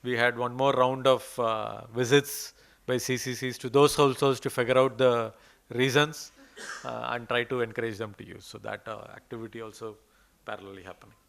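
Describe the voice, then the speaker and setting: A man's voice: mid-range voice, flat delivery, normal speed, vocal fry, fairly bright tone, clean tone. A man with an Indian English accent presenting research slides at a conference, hesitant and full of fillers. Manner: hesitant, many fillers and restarts. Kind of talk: presenting research slides, then answering questions. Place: conference hall.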